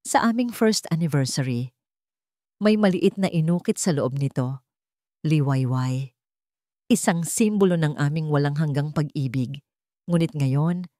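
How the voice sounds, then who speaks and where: A woman's voice: deep voice, darkish tone, no echo, studio quality. A woman reading a scripted dramatic story in the first person. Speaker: narrator of romantic drama stories.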